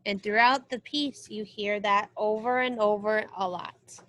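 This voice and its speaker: A woman reading a children's music book aloud as a teacher, expressive and explanatory. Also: medium pitch, measured pace, a little hiss or hum, rough recording. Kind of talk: read-aloud lesson for children.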